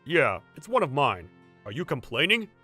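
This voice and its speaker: A man's voice: medium pitch, wildly swooping pitch, normal speed, bright, forceful tone, breathy edge, exaggerated voice. A man puts on a smug senior salaryman for a manga dub. He talks down to his junior with a domineering, threatening manner, in a mid-range voice with light music behind. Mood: dismissive. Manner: condescending, threatening. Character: domineering, smug senior male office colleague, a bully.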